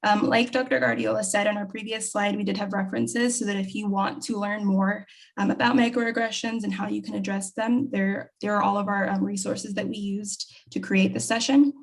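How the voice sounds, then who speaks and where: A woman's voice: medium pitch, fairly even intonation, fairly quick, gravelly. A young woman presenter closing an online conference session over a video call, with frequent ums. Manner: hesitant, many ums. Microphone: laptop mic on a video call.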